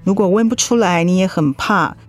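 A woman playing a caring high school homeroom teacher in a radio drama, patient and coaxing, with a Taiwanese Mandarin accent. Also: a little fast, faint music behind, close to an everyday voice. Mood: gentle concern. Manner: gentle, coaxing.

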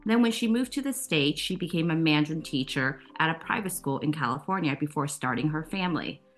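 A woman co-hosting a podcast, reading a scripted introduction of the guest in an even delivery. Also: deep voice, fairly quick, soft background music. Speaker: co-host.

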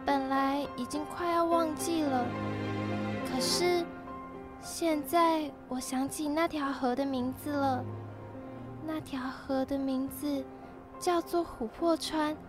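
A woman playing an earnest, determined young girl in an audio drama of an animated fantasy. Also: sits high, slow pace, over music. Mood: tender, recollecting.

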